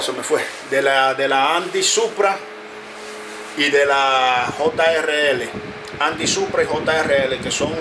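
Earnest man talking in a noisy room. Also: medium pitch. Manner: earnest, repetitive, pauses with fillers. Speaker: barber and video creator. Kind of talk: casual talk to camera. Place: closed barbershop, indoors.

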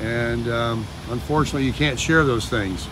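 A man's voice: mid-range voice, moderate pace. An American man vlogging casually, explaining things in a rambling, conversational way. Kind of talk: casual chat to camera. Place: in a car and outdoors in a parking lot. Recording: clear car and outdoor background noise.

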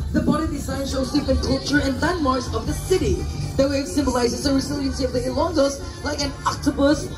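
A woman's voice: sits high, fairly even intonation, fast. A Filipino woman emceeing a live outdoor festival, announcing entries and reading out names, switching between English and Hiligaynon. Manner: reads out names and directs contestants, mixing English and Hiligaynon. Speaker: event emcee. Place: outdoor beachfront festival stage. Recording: echoey PA sound from far off with crowd and music. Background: crowd and music.

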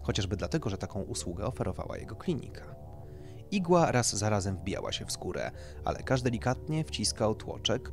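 A man's voice: lowish voice, a little mellow. A man narrating a scripted horror story as an audiobook reader.

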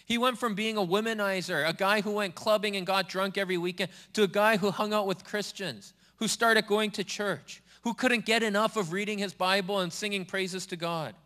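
High-voiced man preaching in a reverberant hall. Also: fairly even intonation, moderate pace, hardly any pauses, bright, forceful tone. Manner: earnest, repetitive preaching cadence. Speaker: pastor. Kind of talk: sermon. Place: church sanctuary.

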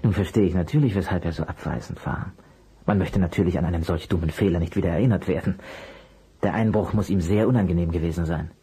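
An actor playing an amiable, patient private detective in a German children's radio play, husky, polite and a little hesitant. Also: male voice, low-pitched, fast, warm tone, heavily acted. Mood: polite, probing.

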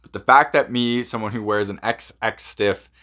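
A man's voice: on the low side, moderate pace, pushed and bright. A man giving a casual product review in a conversational, rambling way. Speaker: hockey gear reviewer.

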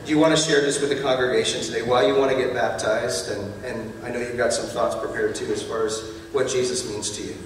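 A man's voice: mid-range voice, steady tone, quick pace, hardly any pauses, recorded from a distance, a little hiss or hum. Pastor man in an echoey church hall. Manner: warm joking, encouraging. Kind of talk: baptism address to congregation. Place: church stage in baptism tank. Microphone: handheld mic, distant room pickup.

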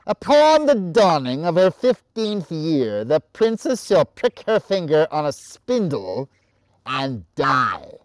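A man's voice: a little high, big pitch swings, takes it slowly, no room sound, pitched above the performer's own voice. A man voicing a solemn wise woman from a fairy tale, pronouncing a fateful spell in a portentous, incantatory manner. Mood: menacing, vengeful.

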